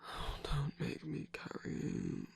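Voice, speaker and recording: Casting: tender, teasing villain sweetheart for romantic ASMR performer of unclear sex, very husky half-whisper with a creaky edge. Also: mid-range voice, huge pitch swings, slow pace, heavily acted. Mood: teasing, scolding. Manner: tender, soft-spoken, teasing. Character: affectionate villain lover, battle-scarred, gently scolding and reassuring. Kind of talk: intimate ASMR romantic roleplay.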